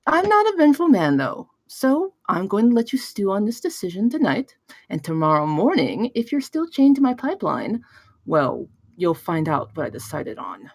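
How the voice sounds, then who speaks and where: A woman's voice: medium pitch, big pitch swings, moderate pace, no room sound. A woman playing a smug, menacing, well-spoken male business tycoon in a table read, with a theatrical, mock-genteel manner. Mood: calmly menacing.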